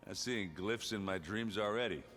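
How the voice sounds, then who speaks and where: An actor playing a brash, jovial warrior in a video game, with a blustery, joking manner. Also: male voice, fairly low pitch, moderate pace, a bit bright, soft background music, natural voice. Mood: wry. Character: brash, jovial, battle-hardened male warrior. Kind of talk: video game cutscene acting.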